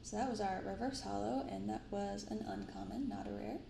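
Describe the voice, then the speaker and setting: Woman, soft-spoken ASMR, gentle casual commentary, crackly vocal fry, roomy recording with faint noise. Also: medium pitch. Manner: gentle, unhurried reading of card text. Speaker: host of a card-opening video. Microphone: binaural microphone.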